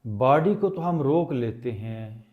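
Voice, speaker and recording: A man leading a guided meditation as a teacher, speaking calmly and deliberately with long pauses. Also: medium pitch, phone-quality sound.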